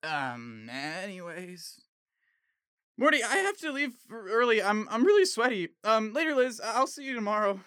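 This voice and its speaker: Man acting animated, awkward young slacker guy. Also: high voice, normal speed, clear, resonant tone, no room sound, clean studio sound, heavily acted. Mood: flustered. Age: young adult. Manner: slangy, awkward, stammering. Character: eager, awkward young slacker guy who makes beats. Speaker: actor in a student audio drama. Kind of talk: audio drama acting.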